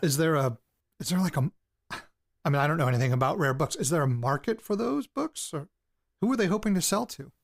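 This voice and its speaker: An actor playing an anxious, insistent young amateur investigator, speaking haltingly and bluntly in a naturalistic audio drama. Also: male voice, a little high, expressive, vocal fry. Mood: insistent, incredulous. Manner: halting, blunt, pressing, sweary. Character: anxious, insistent amateur investigator probing his late grandparents' secrets. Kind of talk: audio drama, naturalistic hesitant recorded diary and interview. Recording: field recording with background noise.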